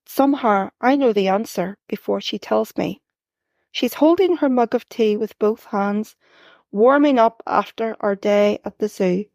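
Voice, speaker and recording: Gravelly woman reading her own story. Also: medium pitch, measured pace, no room sound. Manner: calm, reflective storytelling. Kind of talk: first-person story reading.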